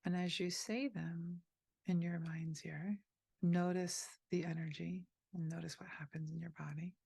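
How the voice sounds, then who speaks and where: A woman leading a guided meditation, speaking softly and gently inviting the listener, with pauses between phrases. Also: on the low side, fairly even intonation, creaky, slightly rough, no room sound. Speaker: meditation teacher.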